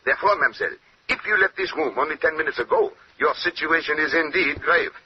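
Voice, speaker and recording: An actor playing a fussy, shrewd Belgian detective with a French-Belgian accent, precise, courteous and theatrical, in 1940s radio style. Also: male voice, fairly high pitch, fast talker, lightly acted. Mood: pointed, probing. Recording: muffled, noisy old-time radio recording.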